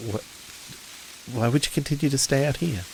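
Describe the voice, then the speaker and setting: A man voicing an earnest, polite samurai-era companion in a tabletop game, halting and stammering as he speaks. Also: medium pitch, a little hiss or hum, pitched above the performer's own voice, natural voice, rough recording. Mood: flabbergasted.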